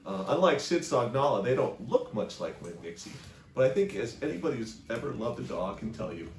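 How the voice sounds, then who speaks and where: A man's voice: medium pitch, very animated, a little fast, slight background noise. Man reading aloud in an echoey room. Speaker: library reader. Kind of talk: storybook read-aloud narration. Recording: echoey room, distant microphone.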